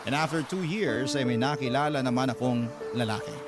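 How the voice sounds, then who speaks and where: A man's voice: medium pitch, moderate pace, loud music behind the voice, lightly acted. A man voicing a wronged young woman's letter as a dramatic radio reading, with a Filipino accent. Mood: earnest, aggrieved.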